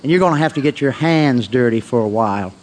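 Actor as a gruff farmer, outdoor ambience. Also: male voice, mid-range voice, lively intonation, quick pace, darkish tone, lightly acted. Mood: suspicious, testy. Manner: folksy, plainspoken. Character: gruff, suspicious, hardworking husband on a failing farm. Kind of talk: naturalistic audio drama acting. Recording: noisy outdoor farm ambience with crows.

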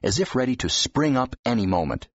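A man with a deep, husky voice reading fiction expressively as an audiobook narrator. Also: big pitch swings, brisk, no echo. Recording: dull, slightly muffled audio.

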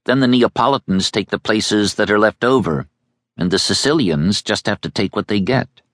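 A man's voice: fairly low pitch, moderate pace, dry recording, clean studio sound, voice pushed up, natural voice. A man voicing a streetwise young boy who lectures with brash certainty. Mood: explaining.

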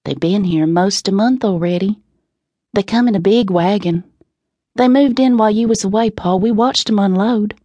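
A sweet seven-year-old country girl, acted by a woman narrator, raised in pitch. She drawls softly in Southern dialect, knowing and unafraid, like a storybook child. The recording is close and dry. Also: dry recording, dull audio, lightly acted. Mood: knowing, eager. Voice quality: slow, soft, sweet. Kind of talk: audiobook character reading.

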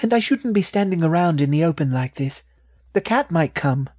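Storybook baby rat, female, man performing: very high, thin, cheeky and proud, full of indignant questions. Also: lively intonation, normal speed, a little mellow. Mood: inquisitive. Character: tiny young female baby rat, feisty, proud, inquisitive. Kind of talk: children's audiobook character.

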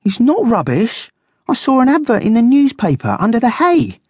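A woman playing an eager, excitable male guinea pig with a squeaky, enthusiastic manner in a children's storybook. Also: unhurried, slightly rough, no echo, heavily acted. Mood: cross, insistent.

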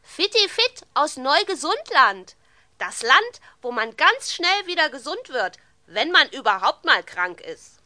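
A woman's voice: high-pitched, sing-song, measured pace, pushed and bright, no echo, clean studio sound, exaggerated voice. A woman playing a bubbly, friendly fairy-like visitor in a children's audio play. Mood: cheerful, friendly. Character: cheerful glittering fairy-like visitor from a land of health.

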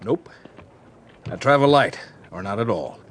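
A laconic drifter cowhand in a western radio-style drama. His voice sits low yet bends in pitch, deadpan and sure of itself, with faint ambient noise around it. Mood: dry, relaxed. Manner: terse, unhurried, deadpan. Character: laconic, self-assured drifter cowhand, calm and dryly polite. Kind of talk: western audio drama acting.

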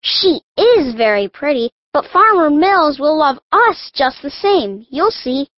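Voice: sits high, sing-song, unhurried, dry recording, dull audio. A performer playing a hopeful young male pig in a children's audiobook, earnest and reassuring. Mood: upbeat, reassuring. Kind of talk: full-cast children's audiobook acting.

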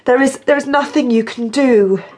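An actress playing an anxious, evasive British wife in shock, speaking haltingly in a naturalistic radio drama. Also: female voice, high-pitched, darkish tone, breathy edge. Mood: tense, numb. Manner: hesitant, halting.

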